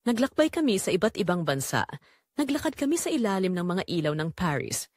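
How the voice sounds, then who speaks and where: Expressive Filipino woman narrator, dry studio sound. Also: mid-range voice, fairly quick, dry recording. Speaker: audio drama narrator. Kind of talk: scripted first-person dramatic narration.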